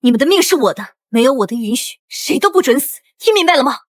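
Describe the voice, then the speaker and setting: A woman playing a bold young princess who commands her team with self-assurance in an animated drama. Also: sits high, quick pace, no room sound, clean studio sound. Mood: resolute. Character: bold young princess commander, money-minded, decisive and protective.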